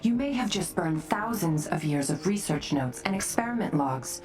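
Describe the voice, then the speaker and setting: An actress playing a cold, imperious scientist boss in a video game, cutting and condescending in a controlled delivery. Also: female voice, lowish voice, lively intonation, normal speed, a little mellow, recorded from a distance, natural voice. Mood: icy contempt. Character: cold, imperious female scientist executive villain. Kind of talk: dramatic video game acting. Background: cinematic game music.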